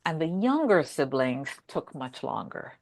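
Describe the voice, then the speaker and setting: Low-voiced woman, lively storyteller. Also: a little slow, vocal fry. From Brazil. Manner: animated storyteller who acts out quotes. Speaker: podcast conversation partner. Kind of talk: casual conversation.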